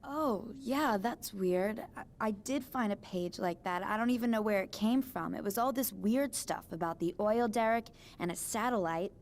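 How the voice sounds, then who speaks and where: A woman's voice: mid-range voice, moderate pace, few pauses, a little hiss or hum, close to an everyday voice. An actress playing an ordinary, friendly but uneasy young woman in a video game, talking casually and a little hesitantly. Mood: friendly, puzzled. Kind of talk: naturalistic video game dialogue.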